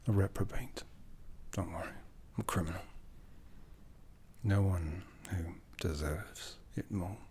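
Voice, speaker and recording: A man playing an aloof, commanding aristocratic vampire in an ASMR roleplay, half-whispering slowly and deliberately with a breathy, seductive delivery. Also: sits low, a little mellow, slight background noise. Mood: calm, commanding. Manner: slow, deliberate, measured.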